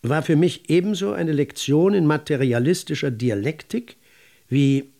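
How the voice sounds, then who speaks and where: An actor playing a literary chronicler, narrating a radio drama with measured, articulate and deliberate phrasing. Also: male voice, a little high, vocal fry, mellow. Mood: wry, measured.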